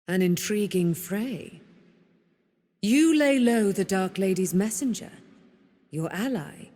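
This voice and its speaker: A woman's voice: mid-range voice, no echo, clean studio sound. A woman playing a proud, righteous immortal warrior, daughter of a moon goddess, with grand, archaic, declamatory diction in a fantasy video game. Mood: taunting, defiant.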